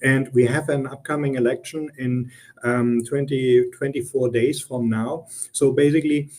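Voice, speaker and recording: Middle-aged German-accented guest in a business interview, warm and relaxed tone, earnest, many ums. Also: male voice, medium pitch, moderate pace. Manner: earnest, explanatory, frequent ums and restarts. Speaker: guest consultant.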